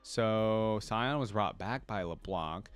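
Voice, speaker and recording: Man reading lore with excited asides. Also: fairly low pitch, slow, clean tone, no room sound, soft background music. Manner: reads wiki text then breaks into excited asides. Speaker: reaction channel host. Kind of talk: casual reaction commentary, reading aloud.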